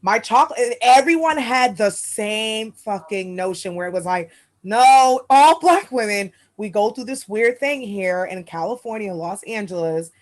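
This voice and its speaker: A woman's voice: medium pitch, animated, moderate pace. A woman from New York with a New York accent, co-hosting a casual podcast chat, talking emphatically and swearing freely. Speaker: podcast co-host.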